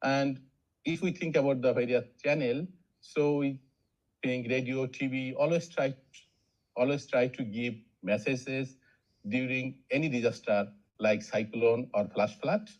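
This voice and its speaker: A man's voice: medium pitch, steady tone, unhurried. A man from Bangladesh, asking a formal, polite question in an online webinar. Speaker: audience questioner. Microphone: video call. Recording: dull lo-fi video-call audio.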